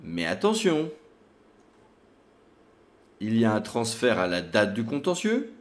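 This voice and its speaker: A man's voice: on the low side, huge pitch swings, slow. Man presenting an explanatory legal tutorial.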